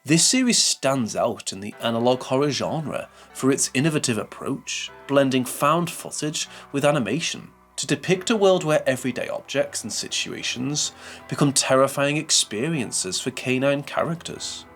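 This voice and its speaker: A man narrates a scripted video essay in a measured, formal manner. His mid-pitched voice moves up and down in a lively way, over faint music.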